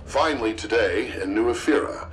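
A man playing a polished television news anchor in a video game, announcing formally and crisply. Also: on the low side, normal speed, over music, close to an everyday voice. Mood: brisk, neutral. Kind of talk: news bulletin.

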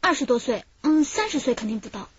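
An actress playing a young, helpful hotel receptionist being questioned in a radio drama. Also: female voice, high voice, lively intonation, quick pace, slightly rough. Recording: muffled radio drama recording.

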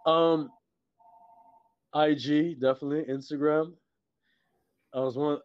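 Male interview guest, middle-aged, from Trinidad and Tobago; relaxed tone, halting casual delivery, dull video-call sound. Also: mid-range voice, no echo. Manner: halting, repeats words, peppers talk with "you know" and "like". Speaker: guest, filmmaker and musician.

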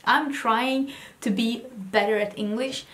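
A woman's voice: a little high, steady tone, takes it slowly, fairly bright tone. Woman, Swedish accent, beauty vlogger, casual and enthusiastic, echoey room. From Sweden. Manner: chatty and enthusiastic, often restarts words. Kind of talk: casual haul video talk. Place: home room with vanity lights.

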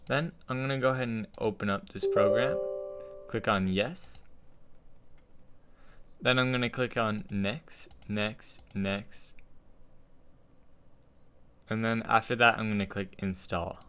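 A young man hosting a casual software tutorial, speaking into a desktop condenser microphone. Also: fairly low pitch, steady tone, takes it slowly, long pauses, clear, resonant tone. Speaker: tutorial host. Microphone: desktop studio condenser microphone.

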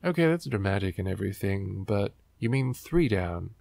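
A man's voice: on the low side, expressive, normal speed, vocal fry, darkish tone, no room sound, studio quality. A man hosting a book riffing show, reading aloud and commenting in a sarcastic, wry, exasperated way.

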